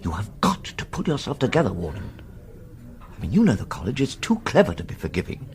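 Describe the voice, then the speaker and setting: A man's voice: mid-range voice, huge pitch swings, a little fast. An actor playing a middle-aged college man in a radio drama, a concerned and exasperated friend who speaks urgently and emphatically. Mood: urgent, grave. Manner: urgent, emphatic, repeats himself.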